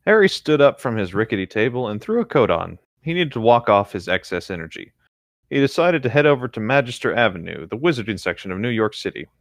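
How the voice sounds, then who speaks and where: A man's voice: on the low side, sing-song, fast, no echo, clean studio sound. A man reading a story aloud casually and a little theatrically, stumbling and correcting himself along the way. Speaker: co-reader on a fan fiction riffing show. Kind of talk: casual storybook-style narration with joking asides.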